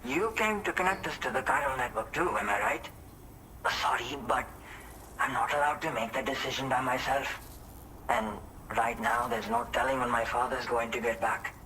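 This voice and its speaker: An actor playing a polite, earnest, apologetic son in a video game. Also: male voice, mid-range voice, normal speed, sharp tone, a little hiss or hum, poor audio. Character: polite, earnest son minding his father's shelter. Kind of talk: scripted game dialogue.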